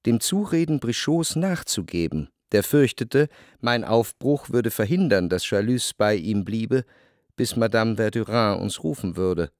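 An actor playing a reflective first-person literary narrator in a radio play, measured and introspective. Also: male voice, fairly low pitch, expressive, a little mellow, no echo, clean studio sound. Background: music.

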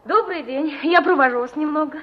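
A dull vintage Soviet radio play recording of an actress as a very young village woman. Her voice is high. She is eager, naive and stubborn, pressing her case directly and insistently. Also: fairly quick, a little hiss or hum, lightly acted.

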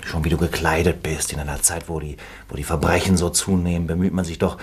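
A man's voice: sits low, little pitch movement, quick pace, slightly rough, full character voice. An actor playing a brash, bossy male friend in a German radio play, teasing and hearty. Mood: bossy, scolding.

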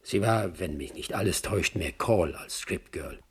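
A man's voice: low voice, lively intonation, normal speed, exaggerated voice. An actor playing a worldly man who recalls an old acquaintance with dry, gossipy irony, as if interviewed in a radio drama. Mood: wry, reminiscing. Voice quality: husky, gravelly. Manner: reminiscing, dryly ironic. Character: worldly male acquaintance giving wry, gossipy recollections.

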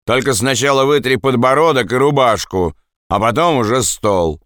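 A man voicing a rough, bossy older woman in an audiobook, speaking bluntly and with command. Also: mid-range voice, normal speed, a bit bright, no echo, clean studio sound, pitched above the performer's own voice, lightly acted. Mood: scolding.

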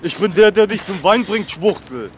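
An actor playing a sarcastic, aggressive gangster enforcer in a radio drama, with a mocking delivery. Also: male voice, high voice, lively intonation, moderate pace, very noisy. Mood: mocking, threatening. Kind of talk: German radio drama acting.

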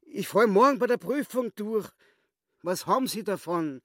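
Cocky little hustler, Viennese accent, highish animated voice, self-important, radio play, dry close sound. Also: male voice, normal speed, no echo. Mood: irritated. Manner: boastful, self-important, excitable. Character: cocky little hustler, boastful would-be world champion.

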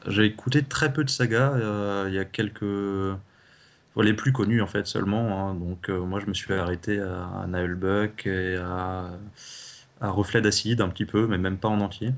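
A man's voice: fairly low pitch, fairly even intonation, normal speed, highs cut off. A man, an audio drama creator answering casually as an interview guest.

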